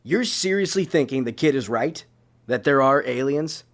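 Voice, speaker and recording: A man's voice: medium pitch, animated, normal speed, a little hiss or hum, close to an everyday voice. An actor playing an impatient, skeptical male senior agent in an audio drama, speaking bluntly.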